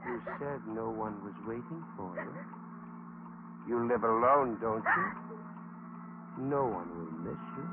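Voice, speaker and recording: A menacing, worn-out male fugitive played by an actor in a 1940s radio thriller. He is curt, bitter and threatening. The old recording is thin, narrow and clearly noisy. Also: medium pitch, animated, measured pace, pauses often, natural voice. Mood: cold, bitter. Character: menacing, weary male fugitive criminal holding a woman hostage. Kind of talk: 1940s radio suspense acting.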